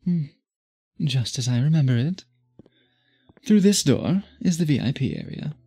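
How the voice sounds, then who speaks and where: A man's voice: on the high side, expressive, measured pace, mellow, breathy edge, exaggerated voice. A man playing an obsessive, old-fashioned vampire suitor in an intimate ASMR roleplay, speaking in formal, archaic, possessive phrases. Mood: charmed, courteous.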